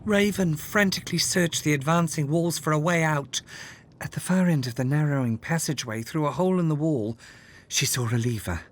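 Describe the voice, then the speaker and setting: A storyteller reading a children's fairytale aloud in an expressive, measured way, with dramatic scripted delivery. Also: medium pitch. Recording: music under the voice. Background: background music.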